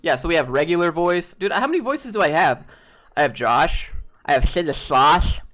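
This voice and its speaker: A soft-voiced man from the American South, chatting casually on a live stream, rambling with fillers and frequent laughs. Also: a little high, normal speed. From Southern United States. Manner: relaxed, rambling, laughs often, many fillers. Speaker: streamer and host.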